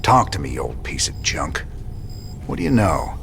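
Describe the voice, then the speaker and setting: A weary middle-aged rebel leader from a sci-fi game, drawls in a low voice. He is gruff and wry, with a Southern American accent. Music plays clearly behind him. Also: big pitch swings, moderate pace, fairly bright tone, heavily acted. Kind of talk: cinematic game voice acting.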